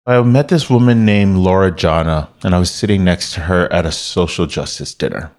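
A man from Miami, Florida, a company founder talking as a guest in a relaxed interview, telling his story with many restarts and fillers. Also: low voice, normal speed. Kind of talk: casual interview talk.

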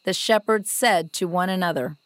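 A woman narrating a scripted Bible story in a measured, reverent storytelling delivery. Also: lowish voice, dry recording.

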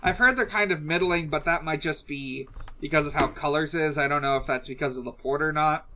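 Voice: medium pitch, a little fast, sharp tone. A podcast host chatting casually and reading out listener questions, hesitant and often trailing off mid-thought.

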